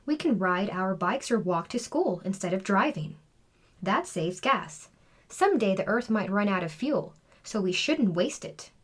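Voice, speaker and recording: A woman's voice: mid-range voice, expressive, unhurried. A woman reading a storybook, voicing a helpful, earnest little schoolboy.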